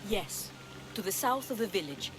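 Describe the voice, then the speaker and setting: An actress playing a sly, flippant pirate schemer in a video game, with a teasing, casual way of talking. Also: female voice, medium pitch, sing-song, moderate pace, close to an everyday voice. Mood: eager, scheming. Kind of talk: video game cutscene dialogue acting. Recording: clear background ambience noise.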